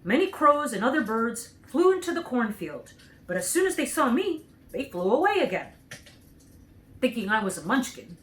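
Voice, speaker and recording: Woman playing an earnest, lively storybook scarecrow. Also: fairly high pitch, normal speed. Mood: wistful, earnest. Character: cheerful, naive straw scarecrow man, earnest storyteller.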